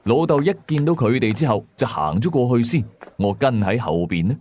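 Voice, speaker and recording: A man's voice: medium pitch, big pitch swings, brisk, a little mellow. A man with a Cantonese accent narrating a scripted first-person ghost story. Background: faint music.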